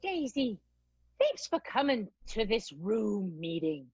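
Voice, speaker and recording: An actress playing a prim, businesslike 1920s vaudeville theatre leader in an improvised comic radio play, on a dull lo-fi recording. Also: female voice, mid-range voice, big pitch swings, slow pace, darkish tone, breathy edge, no room sound, full character voice. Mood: stiffly professional. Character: prim female vaudeville theatre leader, former high-wire performer.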